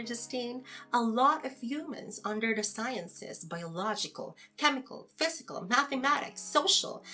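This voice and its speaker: A woman performing a scripted, conversational debate for an audio drama podcast, with an expressive, theatrical delivery. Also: a little high. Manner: theatrical, expressive, asks rhetorical questions. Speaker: podcast host performing both sides of a scripted dialogue.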